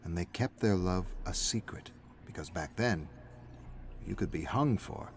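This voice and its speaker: A man's voice: sits low, animated, unhurried, slight background noise. A man reading a story aloud as a scripted, dramatic narrator. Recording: music playing behind the voice. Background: faint background music.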